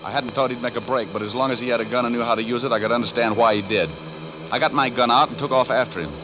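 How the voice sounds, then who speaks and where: An actor playing a tough, wisecracking private detective in a 1940s radio drama, with an American accent and a wry, clipped delivery. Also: male voice, mid-range voice, fast talker, natural voice. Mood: hard-boiled, wry. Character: tough wisecracking male private detective narrating his case. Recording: muffled old 1940s radio recording, music behind.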